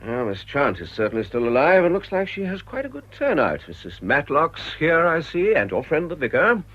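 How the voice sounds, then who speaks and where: An actor playing an urbane, testy middle-aged British husband, clipped and commanding, in a radio drama. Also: male voice, fairly high pitch, huge pitch swings, moderate pace, a bit bright, full character voice. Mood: brisk. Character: urbane, testy, image-conscious husband turned amateur sleuth. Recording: dull, noisy radio drama recording.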